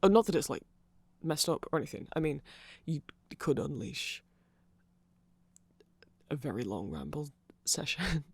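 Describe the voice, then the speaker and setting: A man voicing a nerdy, friendly vampire in a casual ASMR roleplay, soft-spoken and rambling haltingly close to the microphone. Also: on the high side, creaky, slightly rough, no room sound. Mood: shy, hesitant. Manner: halting, self-deprecating rambling with small laughs. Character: nerdy, hesitant, friendly male vampire music fan. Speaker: ASMR audio roleplay performer.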